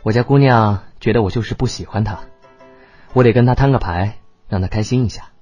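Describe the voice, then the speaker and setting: A performer voicing a laid-back, teasing young man in love, casual and unhurried. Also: medium pitch, darkish tone, breathy edge, highs cut off, soft background music, close to an everyday voice. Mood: frank, resolved.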